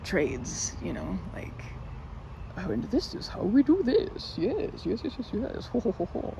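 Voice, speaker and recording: A woman's voice: medium pitch, wildly swooping pitch, unhurried, vocal fry, full character voice. A woman playing a timid, sweet, awkward young woman in an ASMR roleplay, stammering and hesitant, with a giggly, flustered manner. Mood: musing, playful. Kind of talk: ASMR roleplay, improvised-sounding one-sided conversation. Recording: muffled with loud outdoor ambience noise.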